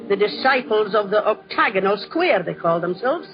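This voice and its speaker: A woman's voice: mid-range voice, expressive, normal speed, close to an everyday voice. An actress playing a motherly, fussing Scottish housekeeper in a 1940s radio drama. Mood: gossipy. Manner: fussing, exclamatory. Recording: dull 1940s radio recording.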